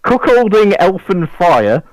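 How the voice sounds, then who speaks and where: A man's voice: high voice, big pitch swings, slow pace, dry recording, rough recording. A man co-hosting a casual, jokey group podcast, teasing and swearing as he chats. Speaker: podcast co-host.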